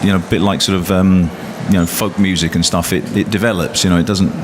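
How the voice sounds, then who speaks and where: Hesitant poet guy on a panel, lots of restarts, low voice, loud street noise. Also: fairly even intonation, quick pace. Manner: hesitant, many restarts and fillers. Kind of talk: panel discussion. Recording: loud traffic noise behind.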